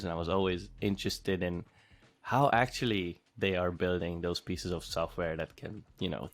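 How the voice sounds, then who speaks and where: A man from Hungary in his thirties answering interview questions in a casual, thoughtful way, with frequent fillers and restarts. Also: low-pitched. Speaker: interviewee, former bootcamp student.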